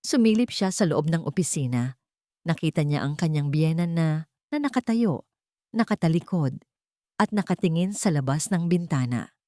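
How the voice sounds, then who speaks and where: A woman narrating a scripted audio drama in a measured, dramatic storytelling manner. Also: low-pitched, mellow, no room sound, clean studio sound.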